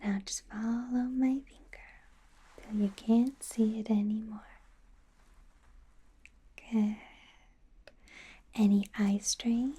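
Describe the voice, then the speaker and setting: A woman playing a friendly, self-correcting werewolf doctor in an ASMR roleplay, speaking in a soft, gentle, hesitant whisper. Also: on the high side, slightly rough, full character voice.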